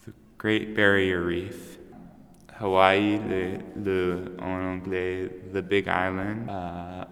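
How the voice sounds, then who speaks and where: Young man in his twenties, very slow, flat, gravelly voice, long reverb. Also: low-pitched. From Los Angeles. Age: 20s. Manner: slow, halting, listing places. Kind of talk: casual interview. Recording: echoey room with long reverb.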